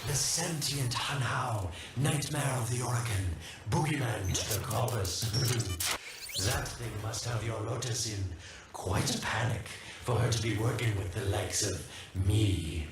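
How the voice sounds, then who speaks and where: An actor playing a smug, theatrical schemer in a video game, mocking and drawing out his words with pointed pauses. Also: lowish voice. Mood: mocking, amused. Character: sly, smug, theatrical male schemer taunting uneasy allies. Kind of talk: scripted video game voice acting. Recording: echoey, distant, loud noise behind.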